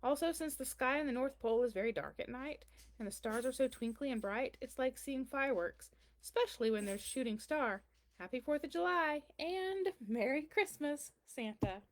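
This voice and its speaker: A woman's voice: sits high, expressive, moderate pace. A woman voicing a kindly, very old man who answers a child's letters in a storybook, reassuring and explanatory. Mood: cheerful, gentle. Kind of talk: children's storybook read-aloud.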